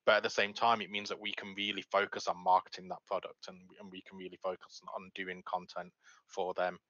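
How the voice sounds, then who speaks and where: Low, bright-edged man, slightly dull audio. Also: dry recording. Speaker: esports organisation co-owner. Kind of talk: conversational business commentary, unscripted.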